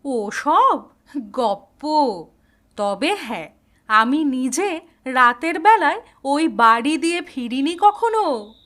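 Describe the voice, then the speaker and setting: Actress as jittery female ghost-story sidekick: extremely high, bright voice, excitable and frightened. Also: takes it slowly, pauses often, exaggerated voice. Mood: nervous.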